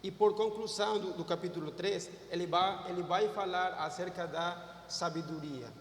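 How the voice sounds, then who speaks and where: A Peruvian man whose first language is Spanish, preaching a sermon in Portuguese with a Peruvian Spanish accent, halting and repeating words as he searches for phrases. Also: high voice, steady tone, a little hiss or hum. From Peru. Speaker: pastor. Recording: echoey hall, far from mic.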